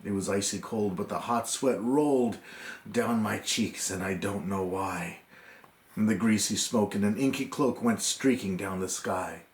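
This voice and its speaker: A man reciting a narrative poem theatrically, in measured phrases with dramatic pauses. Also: lowish voice. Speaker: poem reader. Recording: echoey room recording.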